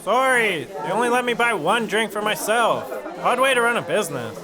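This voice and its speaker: A man's voice: high-pitched, sing-song, moderate pace. An actor playing a mild, puzzled young man at a noisy club, in a podcast sitcom. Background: music and crowd noise.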